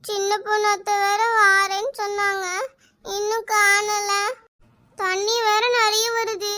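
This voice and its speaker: A woman's voice: extremely high pitch, monotone, slow, sharp tone, heavily acted. A woman voicing a frightened little girl trapped by floodwater, tearful and pleading. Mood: scared, tearful.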